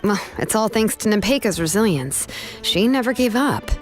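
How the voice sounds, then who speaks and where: A young woman warrior from a video game voiced by an actress, easygoing yet assured and protective. Her mid-range voice moves animatedly in pitch, with faint music behind it. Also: very animated, normal speed, close to an everyday voice. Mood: praising. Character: cool, capable young woman warrior, laid-back, protective.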